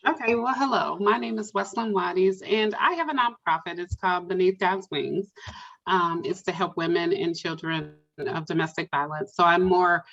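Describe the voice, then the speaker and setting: Woman, lower voice, soft-spoken advocate, slightly muffled. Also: normal speed, gravelly. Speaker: panel guest, advocate. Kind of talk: casual self-introduction.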